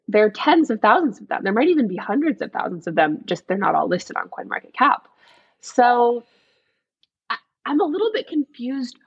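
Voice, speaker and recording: Brief: young woman podcast co-host, breathy tone, dry slightly dull recording, chatty and giggly. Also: mid-range voice, dry recording. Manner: laughs often, chatty and curious. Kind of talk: casual podcast chat.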